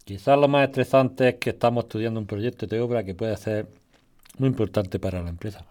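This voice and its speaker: A man's voice: on the low side, a little fast, darkish tone, close to an everyday voice. A man playing an inquisitive husband in conversational radio drama dialogue. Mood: affectionate, tired. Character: inquisitive husband working in family construction firm.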